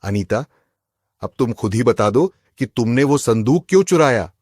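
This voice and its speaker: A man's voice: medium pitch, expressive, normal speed, darkish tone, slightly rough, no echo, studio quality. A man voicing an accusing, suspicious husband in a cartoon, speaking with emphasis. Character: suspicious, aggrieved husband robbed of his chest. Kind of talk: Hindi cartoon voice acting.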